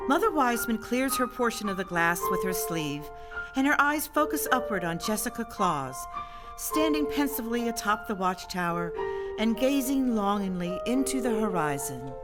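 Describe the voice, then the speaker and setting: A woman's voice: fairly high pitch, big pitch swings, moderate pace, over loud music. A woman giving scripted narration for an audio drama, setting out scenes and stage action.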